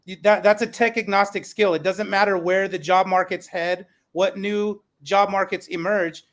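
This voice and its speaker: A man with a high voice moderates a podcast panel. He speaks earnestly and conversationally, passing questions to the guests. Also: steady tone, normal speed, a bit bright.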